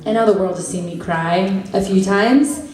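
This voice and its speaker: Young woman panelist, bubbly and jokey, mid pitch, distant echoey hall recording. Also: a little mellow. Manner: bubbly, laughs often, quick asides. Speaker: panelist answering fan questions. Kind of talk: casual convention Q&A. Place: large convention hall. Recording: echoey hall, heard from afar.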